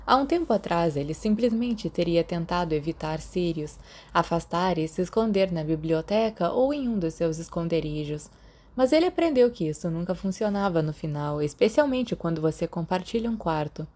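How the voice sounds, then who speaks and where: A woman's voice: on the low side, lively intonation, normal speed, darkish tone. A woman with a Brazilian accent reading a story as a scripted audiobook narrator. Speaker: podfic narrator.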